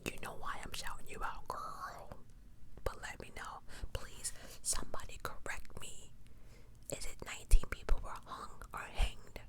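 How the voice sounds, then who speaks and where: Whispering woman, casual ASMR storyteller. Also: measured pace. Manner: conversational, self-correcting, opinionated asides. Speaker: ASMR video host.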